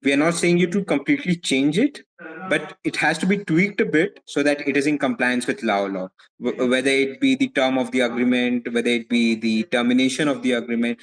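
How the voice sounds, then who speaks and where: Indian-accented man, measured interview answers. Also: medium pitch, fairly even intonation, poor audio. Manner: measured, repeats words while thinking. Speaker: foreign legal advisor, guest.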